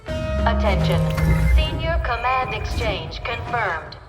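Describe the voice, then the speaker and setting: A woman's voice: on the high side, very animated, takes it slowly. A woman voicing an impassive computer announcement system of a military base in a video game, in clipped, formal phrases. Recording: echoing voice, loud music.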